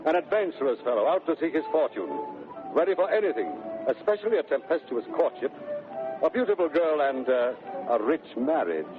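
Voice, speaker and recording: A man's voice: fairly high pitch, lively intonation, brisk, over music. A man narrating a scripted radio drama in a theatrical 1930s storytelling style, on a tinny vintage recording.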